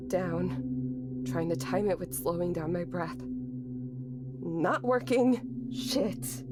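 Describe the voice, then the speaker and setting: An actress playing a grieving, anxious young woman, narrating a horror audio drama in an introspective, bitter, sweary manner. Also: lowish voice. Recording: music behind the voice. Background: music.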